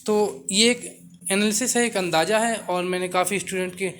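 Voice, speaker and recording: High-voiced man explaining. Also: steady tone, moderate pace, fairly bright tone, slight background noise. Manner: mixes English words into talk, repeats assurances. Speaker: exam coaching YouTuber. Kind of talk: informal explainer talk to viewers.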